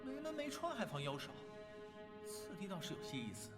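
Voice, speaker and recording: An actor playing a playful, excitable young male cultivator in a Chinese animated drama. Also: high-pitched, brisk, loud music behind the voice. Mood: curious.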